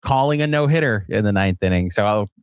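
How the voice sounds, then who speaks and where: A man's voice: fairly low pitch, lively intonation, fast talker, clear, resonant tone, no echo. An American man co-hosting a sports podcast, telling stories in a rambling, conversational way. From Virginia, United States. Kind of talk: casual sports chat.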